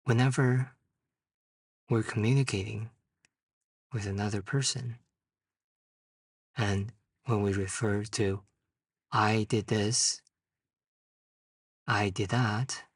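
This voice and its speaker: Guy doing guided meditation, really slow w long pauses, kinda husky, sounds roomy and far from the mic. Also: fairly low pitch, studio quality. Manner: unhurried short phrases with long pauses. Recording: distant mic in a roomy, echoing space.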